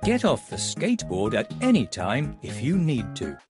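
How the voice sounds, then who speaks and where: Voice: medium pitch, wildly swooping pitch, moderate pace, music in the background. A narrator reading scripted instructions for a children's game in an encouraging way.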